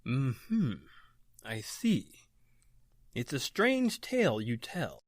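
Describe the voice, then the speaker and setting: An actor playing an elderly scholarly doctor of the occult in old-time radio horror style, measured and musing, muttering hesitantly. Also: male voice, medium pitch, very animated, no echo, clean studio sound, exaggerated voice. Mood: musing, curious.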